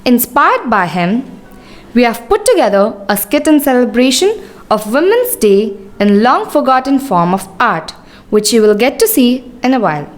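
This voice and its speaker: A woman's voice: a little high, sing-song, normal speed. A woman with an Indian accent presenting a school show in a formal, scripted and ceremonious speech. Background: music.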